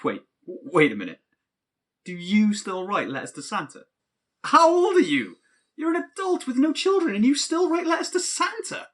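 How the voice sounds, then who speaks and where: A young man who is cynical and easily exasperated. His voice is a little husky and very animated in pitch. He talks like a let-down, sardonic best friend in a naturalistic audio drama. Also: normal speed, exaggerated voice. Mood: exasperated, incredulous. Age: young adult. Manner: sardonic, incredulous.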